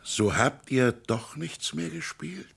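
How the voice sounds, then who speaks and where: An older man acting a lonely, wistful village pastor in a 1960s German radio drama, musing in halting, poetic speech. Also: on the high side, animated, creaky, fairly bright tone, full character voice. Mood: wistful reminiscing.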